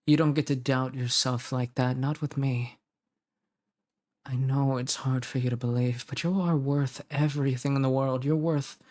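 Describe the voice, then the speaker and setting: A man playing a devoted, protective male lover in romantic roleplay audio, speaking earnestly and pleadingly with dramatic pauses. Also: mid-range voice, natural voice. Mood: tender, insistent.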